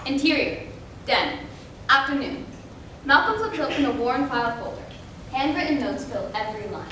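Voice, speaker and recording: A young woman giving dramatic scripted narration on stage with deliberate delivery. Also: lively intonation, bright, forceful tone. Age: young adult. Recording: echoey room, distant mic, noisy rumble.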